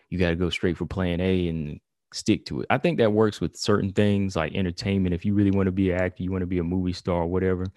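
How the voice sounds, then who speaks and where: A man's voice: deep voice, darkish tone, no echo, clean studio sound. A man giving a casual commentary monologue on combat sports, talking loosely with lots of "you know" and "y'all". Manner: conversational, heavy on "you know" and "y'all", reads quotes aloud.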